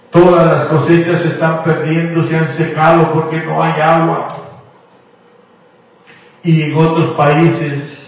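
Slow, sing-song radio preacher. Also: male voice, a little high. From Guatemala. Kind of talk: radio sermon. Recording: echoey, distant radio broadcast with faint music.

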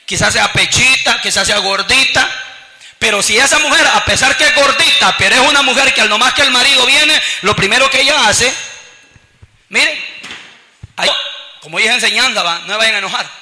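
High, bright Salvadoran preacher. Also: male voice, brisk. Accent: Salvadoran Spanish. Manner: fervent preaching, keeps addressing the congregation as brothers. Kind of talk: sermon. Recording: long reverb of a large hall.

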